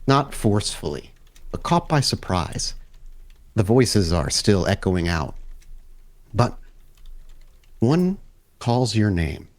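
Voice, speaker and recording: Low-voiced man narrating as game master. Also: sing-song, slow, pauses often.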